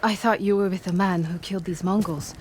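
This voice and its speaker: A humble peasant woman, played by an actress in a game cutscene. She speaks softly and deferentially at a medium pitch, with faint ambience behind her. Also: fairly even intonation, brisk, slight background noise, lightly acted. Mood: timid, helpful. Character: polite, soft-spoken peasant woman, humble and helpful. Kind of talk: video game cutscene acting.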